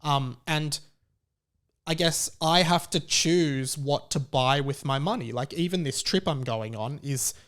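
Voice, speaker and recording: Higher-pitched man talking casually, emphatically. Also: measured pace, fairly bright tone. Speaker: podcast host. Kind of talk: casual opinion monologue.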